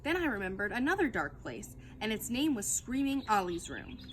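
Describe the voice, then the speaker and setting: Female storytime reader: highish, lively, expressive children's book narration, emphatic. Noticeable ambient noise. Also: normal speed.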